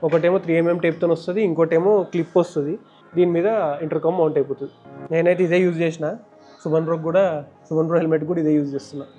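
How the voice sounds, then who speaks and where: A man's voice: a little high, little pitch movement, very fast, dull audio, music in the background. A man with a Telangana Telugu accent explains step by step in a casual, conversational hands-on tutorial as a vlog host. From India. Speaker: motovlogger and host.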